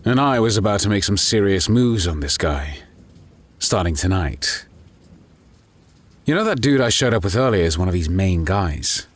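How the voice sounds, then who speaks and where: A man acts a resolute undercover detective bent on revenge. The voice is deep and husky. He speaks tersely, with a dry edge, as in an audio drama. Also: animated, normal speed. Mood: grim, determined. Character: determined undercover detective seeking vengeance, wry.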